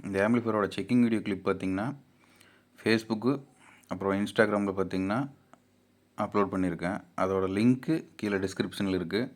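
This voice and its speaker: A man's voice: lowish voice, fairly even intonation, fairly quick, long pauses. A man with a Tamil Nadu accent giving an informal, plainly explained product walkthrough as an amplifier builder addressing his viewers. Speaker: amplifier builder and repairer presenting his video channel.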